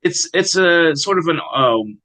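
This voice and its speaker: A man speaking as a poet in an interview, reflective and measured in his manner. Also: on the high side, lively intonation, pushed and bright. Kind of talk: interview answers and poetry reading.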